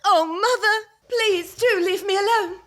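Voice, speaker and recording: A woman plays a loyal young wife close to tears in a radio drama. Her voice is very high, earnest and plaintive. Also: measured pace, a bit bright. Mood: distressed, plaintive. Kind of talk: radio play acting.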